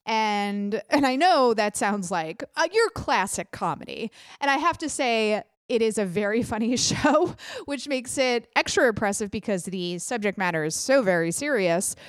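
A young adult woman hosting her own podcast, chatting casually with quick self-deprecating asides, sounding a little congested. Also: a little high, animated, smooth, dry recording. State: congested, clogged ear. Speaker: podcast host. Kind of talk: casual solo podcast chat.

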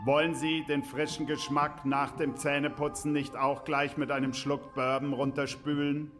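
A man playing a smug, slick husband pitching a product in a spoof radio advert. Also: little pitch movement, moderate pace, lightly acted. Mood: smug, salesy. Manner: salesman-like, slick. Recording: loud music bed. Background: loud music.

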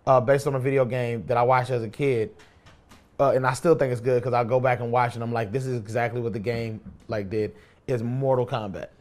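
A man's voice: medium pitch, fairly even intonation, brisk, a little mellow. Casual man chatting, repeating himself for emphasis. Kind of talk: casual chat while playing a game.

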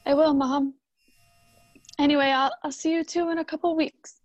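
A woman acting the part of Hannah's unsettled grown daughter and wife role in a radio drama, speaking haltingly. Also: sits high, flat delivery, no room sound, muffled recording, close to an everyday voice. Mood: wistful, affectionate. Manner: hesitant, halting.

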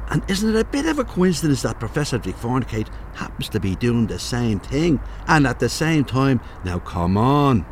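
A man's voice: medium pitch, very animated, normal speed, hardly any pauses, a little hiss or hum, natural voice. An actor playing a bossy, scoffing, know-all Irish man in a comedy audio drama. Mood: impatient. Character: bossy, dismissive Irish man sneaking about, secretly jittery.

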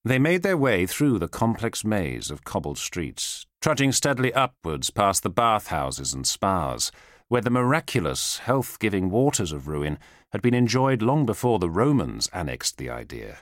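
A man reading a thriller audiobook as its narrator, in a measured, solemn, suspenseful way with weighted pauses. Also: on the low side, big pitch swings, no room sound, clean studio sound.

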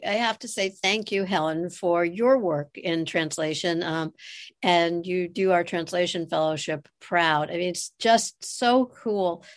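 A woman speaking as a podcast host in a conversational interview. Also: fairly low pitch.

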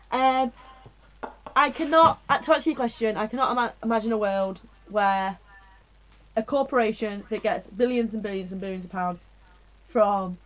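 A woman's voice: medium pitch, low-quality recording. A young woman co-hosting a casual podcast chat, rambling and digressing with frequent giggles. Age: young adult. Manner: rambling, self-interrupting, giggly. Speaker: podcast co-host.

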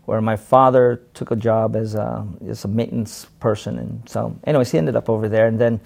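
Gravelly-voiced man from the Philippines. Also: fairly low pitch, fairly even intonation, a little mellow. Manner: halting, many fillers and restarts. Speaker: guest, self-defense instructor. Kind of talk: casual interview conversation.

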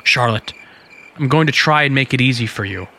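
Bright, keen young American detective. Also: male voice, medium pitch, a little fast. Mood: reassuring. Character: earnest young undercover detective, courteous but insistent. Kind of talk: old-time radio drama acting.

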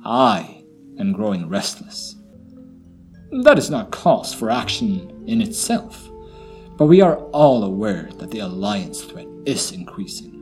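A proud blood elf paladin, acted by a man, formal and refined in speech. He talks with lively intonation and a measured officer's composure, over a music bed, as in a fantasy audio drama. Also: fairly low pitch. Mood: earnest, resolute.

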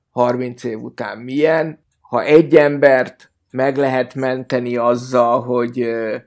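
A man's voice: mid-range voice, gravelly. A man talking casually as a panelist on a football podcast.

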